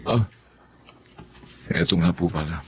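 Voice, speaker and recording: An actor playing an exasperated husband and concerned son in a Filipino audio drama. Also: male voice, low voice, measured pace, a bit bright, slight background noise.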